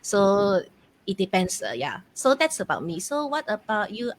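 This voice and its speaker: A woman's voice: medium pitch, sing-song, normal speed, slight background noise. Chatty Malaysian woman hosting a live stream. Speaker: host of a live question game, online mentor.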